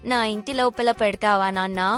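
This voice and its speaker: A woman's voice: mid-range voice, steady tone, brisk, smooth, soft background music, close to an everyday voice. A woman as a plain-spoken old village granny. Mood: gentle. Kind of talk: cartoon moral story dialogue.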